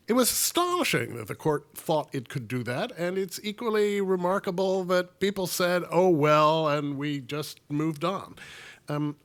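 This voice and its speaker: A man with a hoarse voice from a cold, speaking as a panelist with earnest, stammering restarts that build into emphatic stretches. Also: on the high side. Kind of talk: panel discussion remarks.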